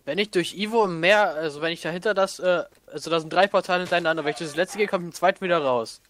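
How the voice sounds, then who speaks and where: Excitable high-pitched young-sounding man gaming. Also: racing, slight background noise. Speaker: let's player in a group. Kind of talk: casual gaming chat.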